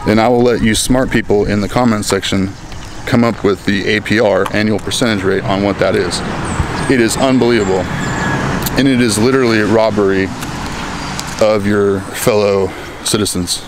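A man's voice: lowish voice, steady tone, moderate pace, pauses often. An American man in his thirties talking to a handheld camera in a town plaza, rambling and joking in a casual vlog. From United States. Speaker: vlogger. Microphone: handheld camera at arm's length. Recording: outdoor recording with clear street noise.

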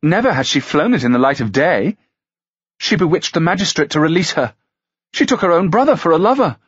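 A man voicing a sharp-tongued young medieval noblewoman spreading accusing gossip. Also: fairly high pitch, big pitch swings, fairly quick, breathy edge, no room sound, highs cut off, pitched above the performer's own voice.

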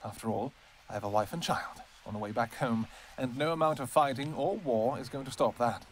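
Dude playing a possessive vampire king, medium voice, soft and doting then bossy with his men, faint hiss. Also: medium pitch, fairly quick. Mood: commanding. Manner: tender and murmuring with his wife, commanding with troops. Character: doting royal vampire king, commanding and fiercely devoted. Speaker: audio roleplay performer. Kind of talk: audio roleplay.

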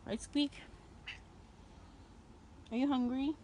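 A woman's voice: high voice, fairly even intonation, slow pace, background noise. A young woman chatting casually and rambling in an informal vlog, recorded on a handheld phone. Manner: informal, rambling, talks to a pet. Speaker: vlogger.

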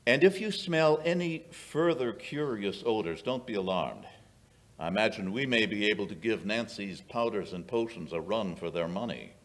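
A brisk, inquisitive gentleman detective from a radio mystery, acted by an older man. His delivery is authoritative and deliberate, with lively swings in pitch. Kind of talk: old-time radio mystery acting. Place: small radio-style studio stage, indoors. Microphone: stand microphone.

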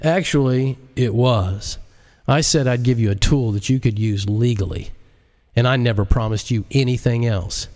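A man's voice: medium pitch, moderate pace, darkish tone, natural voice. A man voicing a cocky, sardonic computer genius with a mocking, folksy, scolding manner in an audiobook. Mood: stern, accusing.

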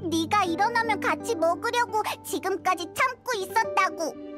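A woman voicing a small, childlike, perky and chatty fairy girl in a video game, with squeaky, exaggerated delivery. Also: moderate pace, loud music behind the voice. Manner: exaggerated, reactive.

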